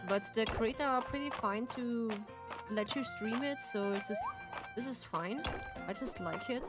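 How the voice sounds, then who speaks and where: Slow-talking woman streamer from Turkey. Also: a little high. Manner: chatty, halting, thinks aloud with many restarts. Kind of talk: casual gameplay commentary. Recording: loud game music behind.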